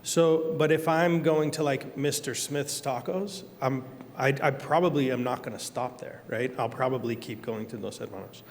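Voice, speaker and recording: A man's voice: mid-range voice, normal speed, hardly any pauses, a little mellow, in a large hall, slight background noise. A man on a discussion panel, talking casually and telling anecdotes, laughing as he goes. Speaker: panelist, kitchen operator. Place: event hall.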